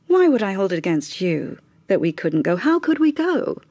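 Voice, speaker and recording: An actress playing a bitter, distracted, well-to-do middle-aged wife in a naturalistic radio drama, speaking in clipped, repeated phrases. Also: female voice, mid-range voice, big pitch swings, normal speed, warm tone, husky, no room sound. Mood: brittle, questioning.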